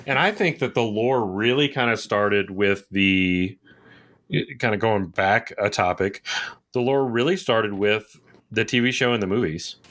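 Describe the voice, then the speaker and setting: A man's voice: on the low side, measured pace, a bit bright. A man co-hosting a podcast in a casual, rambling style, often checking that his listener follows. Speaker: podcast co-host. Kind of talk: casual conversational video game chat.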